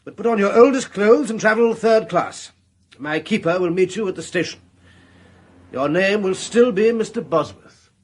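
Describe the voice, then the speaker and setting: An actor playing a calm, firm middle-aged gentleman who narrates and gives measured orders in a radio drama, with a husky voice. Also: high-pitched. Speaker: narrator and lead character.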